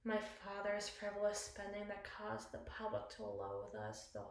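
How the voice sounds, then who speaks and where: A woman playing a battle-scarred, commanding evil queen in an intimate ASMR roleplay, speaking formally and wistfully with deliberate, theatrical pauses. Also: medium pitch, sing-song. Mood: melancholy. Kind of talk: ASMR roleplay, slow dramatic monologue. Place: small bathroom, indoors. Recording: echoey tiled bathroom.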